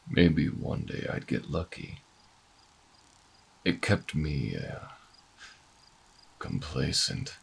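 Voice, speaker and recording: A man playing a bitter, defiant older human revolutionary in a sci-fi audio drama, speaking in a gravelly, husky voice with theatrical, brooding delivery. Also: rumbling low, fairly bright tone, full character voice. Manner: dramatic, brooding, halting monologue. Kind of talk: audio roleplay drama acting.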